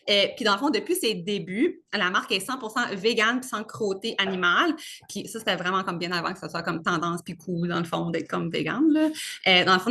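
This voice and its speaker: A woman's voice: medium pitch, sharp tone. A woman in her thirties with a Québécois French accent, giving a casual, chatty product presentation full of fillers and English words. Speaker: brand consultant presenting.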